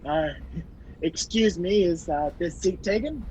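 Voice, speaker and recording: A man playing a polite, earnest gentleman hero in an old-time radio play. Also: sits high, unhurried. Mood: hurried. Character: gentlemanly male hero caught in a spy intrigue. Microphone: video call. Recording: dull, noisy video call audio.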